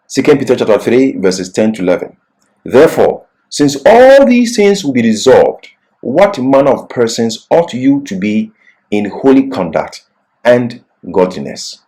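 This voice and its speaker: A man delivering a scripted Bible lesson in an earnest, deliberate, emphatic manner. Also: medium pitch, a little mellow, low-quality recording. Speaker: Bible teacher. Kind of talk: religious lesson, scripted exposition.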